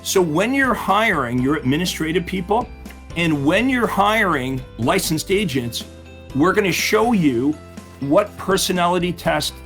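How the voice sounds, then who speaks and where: A middle-aged man teaches real estate agents in a lively, upbeat way, slightly high for a man, and keeps checking in with his audience. His voice is going hoarse. Faint music plays underneath. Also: measured pace. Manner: upbeat, asks the audience questions. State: losing his voice. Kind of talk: webinar-style coaching talk.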